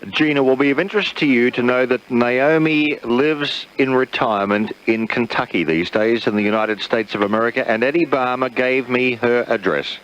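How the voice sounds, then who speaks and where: A man's voice: mid-range voice, moderate pace, few pauses, a bit bright. A man hosting a radio interview. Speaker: radio host. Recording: slightly dull lo-fi radio broadcast.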